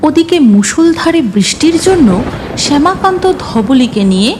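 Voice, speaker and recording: A woman narrates a cartoon tale with dramatic delivery. Her voice is high and very lively, with clear music underneath. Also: measured pace. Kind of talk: dramatic scripted cartoon storytelling.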